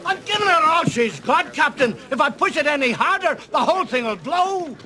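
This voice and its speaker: Casting: man as a panicking starship engineer, urgent, very high and sharp-voiced. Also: lively intonation, normal speed, exaggerated voice. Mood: frantic.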